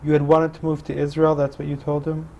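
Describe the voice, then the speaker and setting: A man's voice: on the high side, completely flat delivery, quick pace, gravelly, mellow, dull audio. A man asking conversational interview questions, recorded a little away from the microphone with some room noise.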